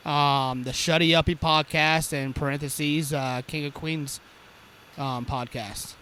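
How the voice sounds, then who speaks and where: A man's voice: fairly high pitch, fairly even intonation, slow pace, bright, forceful tone, smooth. A man co-hosting a podcast, playful and teasing in casual chat. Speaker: podcast co-host.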